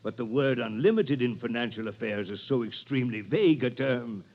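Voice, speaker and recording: An actor playing a cautious middle-aged banker baron, deferential and hesitant, in 1930s radio drama style. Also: male voice, mid-range voice, brisk, close to an everyday voice. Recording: tinny old radio broadcast.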